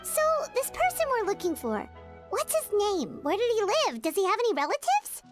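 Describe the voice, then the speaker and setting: Super high-pitched, bouncy little fairy sidekick from a game, nosy and eager, music going behind. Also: female voice, huge pitch swings, moderate pace, full character voice. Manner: eager, refers to herself in third person. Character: tiny excitable female fairy companion, chatty and curious.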